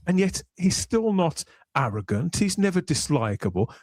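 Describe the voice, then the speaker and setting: A man hosting a podcast with conversational commentary, speaking in articulate, measured, storytelling phrases. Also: on the high side, big pitch swings, a little mellow, no room sound. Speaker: podcast host.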